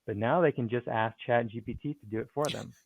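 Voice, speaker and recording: A man's voice: medium pitch, quick pace, creaky, dry recording. A man working as a speaking and writing coach, answering interview questions in a hesitant way, with many fillers and restarts. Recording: tinny phone-like line.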